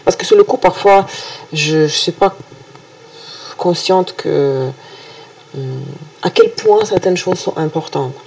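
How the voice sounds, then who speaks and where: A woman with a Russian accent, a native Russian speaker, presenting a weekly horoscope video in a halting way full of hesitations and restarts. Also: sits low, darkish tone, low-quality recording. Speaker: astrologer.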